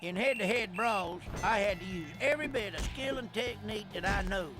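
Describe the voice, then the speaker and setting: A man acts as a bragging cartoon tow truck with a Southern US drawl and a very high voice. He spins folksy tall tales in loose country grammar, over loud game music. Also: brisk, close to an everyday voice. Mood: boastful. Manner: folksy, ungrammatical, excitable yarn-spinning. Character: boastful rustic male tow truck telling tall tales. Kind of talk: animated video game character narration.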